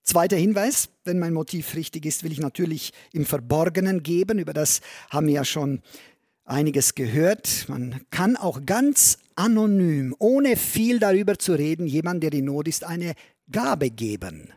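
A man preaching a sermon in a church, earnest, rising loud on key phrases and sinking to a near whisper. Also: fairly high pitch, animated, moderate pace, no room sound, clean studio sound. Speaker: pastor.

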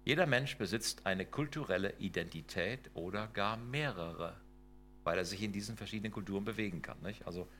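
Emphatic lecturing man with a low voice. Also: a little fast, fairly bright tone, slight background noise. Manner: emphatic, rhetorical questions, tag questions like "ne?" and "ja?". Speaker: lecturer on intercultural work. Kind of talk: lecture with slides.

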